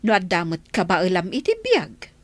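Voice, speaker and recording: A woman's voice: fairly low pitch, wildly swooping pitch, moderate pace, no echo. A woman with an Ilocano accent playing a firm, moralizing older woman in a radio drama. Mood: scolding.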